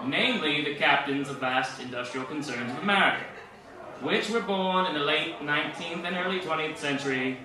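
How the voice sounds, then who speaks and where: An actor playing a pompous, smugly grand 1940s president giving a formal political speech as in old-time radio. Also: male voice, fairly high pitch, natural voice. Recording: distant echoey hall with crowd behind.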